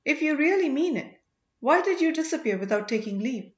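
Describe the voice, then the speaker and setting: A woman's voice: high-pitched, animated, fairly quick, pitched above the performer's own voice. A woman voicing a proud, strong-willed and devoted young princess in an audiobook story. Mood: indignant. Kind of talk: audiobook character dialogue. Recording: roomy, slightly dull home recording.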